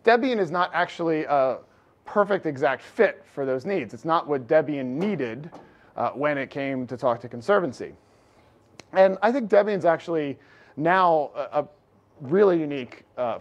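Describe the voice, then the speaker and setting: A middle-aged man speaks energetically to a big lecture hall, pushing his loud voice out to the room. His pitch sits a little high. The recording is dull and lo-fi. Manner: energetic, loud, projecting without amplification. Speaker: conference speaker. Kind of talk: conference talk with slides. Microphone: room recording mic, no lapel.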